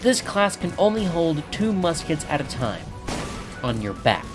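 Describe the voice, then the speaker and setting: Brief: a man putting on an energetic, swooping game-guide narrator over clear backing music. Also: fairly high pitch, a little slow, pitched above the performer's own voice. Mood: instructive, teasing. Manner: lively, sing-song guide delivery. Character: hyped-up male game-guide narrator persona. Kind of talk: game tutorial voiceover. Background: clear background music.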